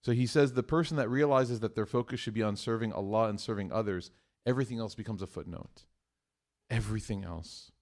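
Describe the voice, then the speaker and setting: A man giving a live sermon to a room of listeners, chatty and joking, moving between loud emphatic bursts and hushed points. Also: lowish voice, fairly quick. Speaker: mosque speaker. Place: room with an audience.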